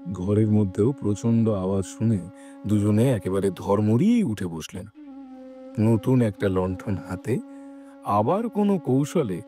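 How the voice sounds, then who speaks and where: Low-pitched male story narrator, lively intonation. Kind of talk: scripted dramatic story narration.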